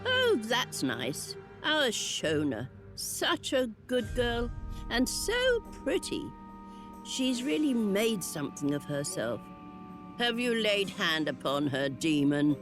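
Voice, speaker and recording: A woman's voice: mid-range voice, wildly swooping pitch. A woman voicing the lonely, wry ghost of a witch burned at the stake, in a game cutscene. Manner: slow, drawling, sly asides. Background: game music.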